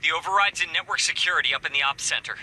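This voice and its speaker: An actor playing a jittery, talkative scientist in a video game, speaking in a nervous, hesitant, apologetic way. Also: male voice, fairly high pitch, rapid-fire, heavy background noise, lightly acted. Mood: anxious, relieved.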